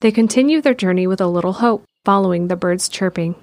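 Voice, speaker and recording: A woman narrating an audio drama in a calm, measured way. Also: mid-range voice, a little hiss or hum.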